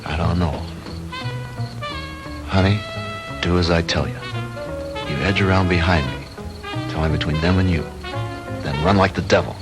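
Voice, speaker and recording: A young American actor playing a young man protecting his girlfriend in a low-budget 1960s film. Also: steady tone, moderate pace, pauses often, lightly acted. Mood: tense, hushed. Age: young adult. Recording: old film soundtrack with music.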